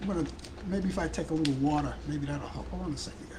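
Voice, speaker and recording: A man from Brooklyn with a Brooklyn accent hosting a live talk show, chatting casually in a hoarse, worn-out voice. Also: a little high, fast talker, background noise. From Brooklyn, New York. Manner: rambling, chatty, addresses viewers and callers by name.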